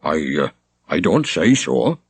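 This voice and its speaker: A man voicing a reasonable village witness in an audiobook, slow, measured and outright. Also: deep voice, sing-song, breathy edge, no echo, heavily acted. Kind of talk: audiobook character acting.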